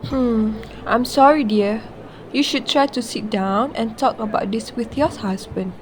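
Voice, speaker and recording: Consoling female best friend, played by a woman, highish voice. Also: a little slow, background noise, natural voice. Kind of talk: amateur radio drama acting.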